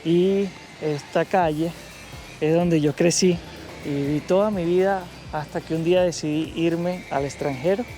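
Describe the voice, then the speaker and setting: A man's voice: fairly high pitch, steady tone, a little slow, mellow, soft background music. A man from Venezuela narrating a travel vlog and chatting with people on his home street. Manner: friendly, chatting with locals. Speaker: vlogger and interviewer. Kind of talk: scripted narration and street chat. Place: outdoors in a neighborhood street.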